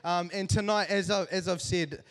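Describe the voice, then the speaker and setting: A man in his thirties with a New Zealand accent, giving a lively, joking church talk to a congregation. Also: sits high, moderate pace. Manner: animated, joking, self-deprecating. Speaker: church speaker. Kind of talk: humorous sermon storytelling to a congregation.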